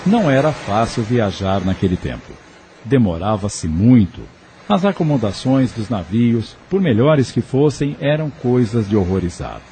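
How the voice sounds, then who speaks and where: Male audio novel narrator: scripted dramatic read, dark mellow tone, very varied intonation. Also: medium pitch, sing-song, measured pace, slight background noise. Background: faint music.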